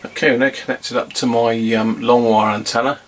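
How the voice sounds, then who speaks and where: A man's voice: mid-range voice, fairly even intonation, brisk. A man giving a casual hands-on demonstration, thinking aloud as he goes. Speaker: vintage radio hobbyist presenter.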